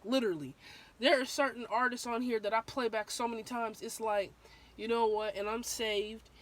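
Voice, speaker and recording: Hoarse young woman rambling candidly. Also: medium pitch. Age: young adult. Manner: candid and rambling, with many ums and restarts. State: sore throat, slightly hoarse. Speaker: vlogger. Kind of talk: casual opinion vlog.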